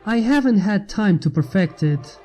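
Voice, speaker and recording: A man voicing a calm, coldly logical inventor leader in a story reading, in a nonchalant, formal, measured manner. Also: sits high, soft background music. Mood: calm, firm.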